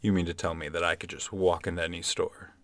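Actor as cautious male bank lender, deep and halting, questioning, in a dry-miked comedy sketch. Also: little pitch movement, dry recording. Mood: curious, hesitant. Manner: halting, with stammered repeats. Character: hesitant male loan officer, curious, cautiously questioning.

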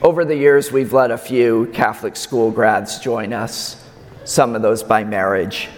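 A man preaching a sermon in a slow, measured way with emphatic pauses, his voice slightly raspy. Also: medium pitch, steady tone, a little hiss or hum. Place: large church. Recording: long church reverb.

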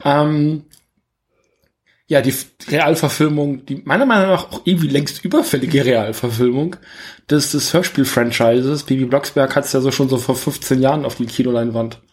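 A man's voice: mid-range voice, normal speed. Jokey, rambling male podcast host. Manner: rambling, jokey, self-correcting. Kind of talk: casual film review chat.

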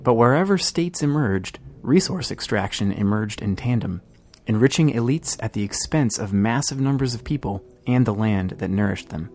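A man co-hosting a podcast, reading scripted news and commentary in a measured, articulate way. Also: fairly low pitch, animated, a little mellow. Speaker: podcast co-host.

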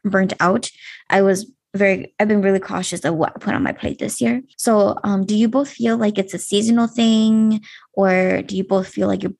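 A woman's voice: mid-range voice, vocal fry, a little mellow. A woman co-hosting a podcast in casual chat, welcoming listeners and checking in on her co-hosts. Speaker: podcast co-host.

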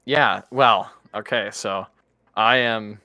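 Chatty, animated young man. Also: fairly low pitch, takes it slowly, dry recording. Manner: animated, chatty, self-correcting. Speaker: podcast co-host. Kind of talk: casual chat.

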